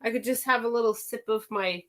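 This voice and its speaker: A woman with a raspy, hoarse voice from a cold, chatting slowly and chuckling while leading a drumming circle on a video call. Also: fairly high pitch. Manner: slow, chuckling, unhurried. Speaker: drumming circle song leader. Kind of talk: casual chat and hand-drum singing. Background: hand drum.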